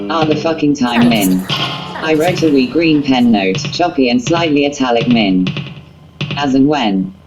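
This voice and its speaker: Female story narrator, very deep, literal text-to-speech delivery, guitar backing. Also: rough recording. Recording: music under the voice. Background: guitar music.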